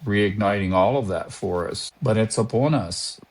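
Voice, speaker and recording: An American man who is a documentary maker, speaking as an interview guest in a measured and earnest way, sometimes searching for words. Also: on the low side. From United States. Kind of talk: reflective interview talk.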